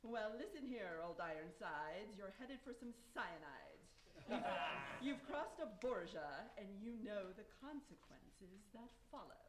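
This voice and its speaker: A woman playing a vain, seductive, sharp-tempered poisoner in a theatrical rhyming radio play. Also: medium pitch, big pitch swings, measured pace, echoey room, crowd noise, low-quality recording. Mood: indignant, threatening. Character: Lucrezia Borgia, vain seductive murderous poisoner in Hades.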